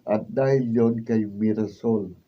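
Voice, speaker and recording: Husky young boyfriend, muffled. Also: male voice, lowish voice, steady tone, slow pace. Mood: casual. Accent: Filipino. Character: young male student, reformed playboy boyfriend, pleading and pushy. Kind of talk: Tagalog radio drama acting. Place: radio drama studio, filmed from afar. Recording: muffled, distant studio camera sound.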